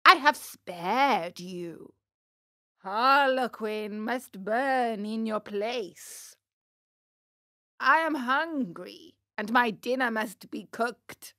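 A big, bearded bully of a puppet master from a children's storybook, acted out by a woman. The voice is loud and gruff, with slow, drawn-out orders and a soft heart underneath. The sound is close and dry. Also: no room sound, heavily acted. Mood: stern. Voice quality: hoarse, booming. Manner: loud, commanding, drawn-out delivery.

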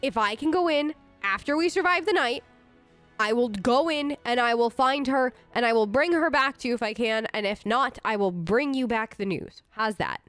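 A woman with a high voice, improvising as her video game character. She is chatty and informal, recorded dry and close, with faint music underneath. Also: normal speed, a bit bright, smooth, no echo. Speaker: let's player role-playing her character.

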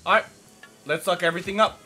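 Brief: young male let's-play host, hoarse and raspy, high and bright, chatty, faint game music behind. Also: steady tone, fast. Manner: chatty, rambling, lots of fillers. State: hoarse from yelling. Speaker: gaming channel host. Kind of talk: casual let's play commentary.

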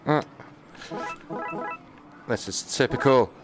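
A man's voice: mid-range voice, takes it slowly, over music. A British man giving casual live gaming commentary, grumbling sarcastically with mild swearing over background noise. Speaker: gaming streamer.

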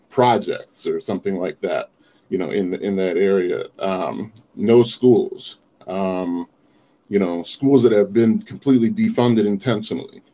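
A middle-aged man calling in to a livestream, giving his opinion in a halting way with pauses mid-thought. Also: on the low side, dark tone. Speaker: caller on a livestream. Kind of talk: earnest opinion chat. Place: small wood-panelled room indoors. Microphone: device mic on video call.

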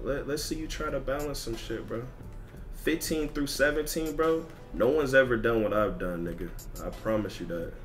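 A man's voice: mid-range voice, moderate pace, faint music behind. A man ranting casually as a gaming streamer, blunt, emphatic and repetitive, with lots of slang and swearing. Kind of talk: casual livestream rant over gameplay.

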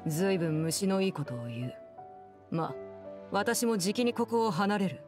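An actress playing an aloof, commanding woman in a Japanese game, speaking in a composed, measured, formal way. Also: mid-range voice, very animated, music in the background, close to an everyday voice. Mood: cool, dismissive. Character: cool, commanding woman, aloof diplomat and stern guardian. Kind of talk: Japanese anime-game voice acting.

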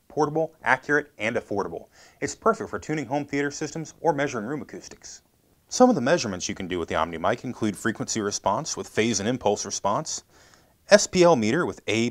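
An American man in his thirties presenting a scripted product demonstration. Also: mid-range voice.

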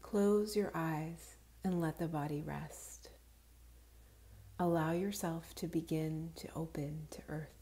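A woman leads a guided meditation in a soft, fairly low voice with a mellow tone. She speaks very slowly and soothingly, with breathy edges to her phrases, giving calm, unhurried instructions. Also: a little hiss or hum. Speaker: yoga nidra guide.

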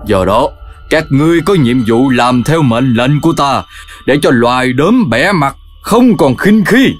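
A man's voice: medium pitch, expressive, a little slow, faint music behind, lightly acted. Pompous cartoon rat king played by a man. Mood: indignant, commanding. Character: aggrieved, bossy rat king rallying his horde. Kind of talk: children's cartoon voice acting.

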